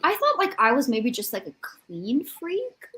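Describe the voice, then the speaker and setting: A woman with a fairly high voice that swings widely up and down. She chats informally, with an exasperated, self-mocking edge. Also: huge pitch swings, a little slow, fairly bright tone. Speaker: participant in a group reading livestream. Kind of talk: casual chat.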